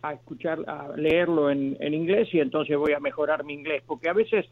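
Oyente por teléfono de voz aguda. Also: male voice, a little high, fairly even intonation. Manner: halting, many restarts, earnest. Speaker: caller. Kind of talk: phone-in call. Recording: tinny phone line.

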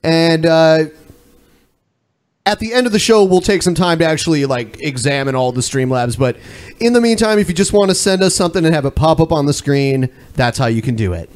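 A man with a somewhat high voice hosts a casual live stream. He talks bluntly and swears freely, like someone chatting with regular listeners. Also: animated, fairly quick, darkish tone. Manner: blunt, profane. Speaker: podcast host.

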